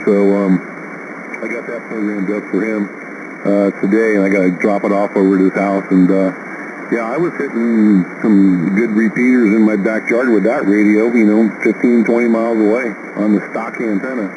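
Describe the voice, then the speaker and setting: A man's voice: on the low side, fairly even intonation, normal speed. A man chatting casually as an amateur radio operator over shortwave, in an informal, folksy, mildly profane way. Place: two-way radio contact received on shortwave. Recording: noisy shortwave radio transmission with static.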